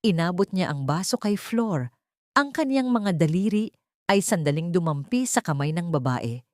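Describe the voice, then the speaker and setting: Woman, lowish pitch, dry close sound, dramatic audio story narration, earnest and sentimental. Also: expressive, normal speed, a little mellow, dry recording.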